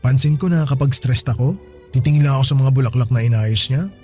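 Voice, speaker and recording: A man's voice: medium pitch, fast, darkish tone, soft background music, lightly acted. An actor playing a friendly, good-humored male store boss with a Filipino accent, chatting easily in a radio drama. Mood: warm, chatty. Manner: chatty, chuckling between remarks. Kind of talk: Tagalog radio drama acting, conversational.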